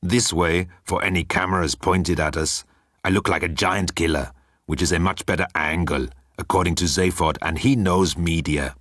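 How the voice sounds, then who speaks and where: A man's voice: low voice, normal speed, a bit bright, dry recording, clean studio sound. A man voicing a vain, image-conscious thunder god who explains himself in a chummy, self-important way. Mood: self-satisfied.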